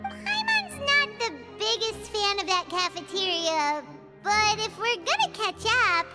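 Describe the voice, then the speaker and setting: A woman's voice: very high voice, very animated, measured pace, loud music behind the voice, full character voice. A woman voicing a tiny, perky, blunt childlike fairy companion in a video game. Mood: picky, blunt. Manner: speaks of herself in third person, exclaiming, childlike. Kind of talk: video game character voice acting.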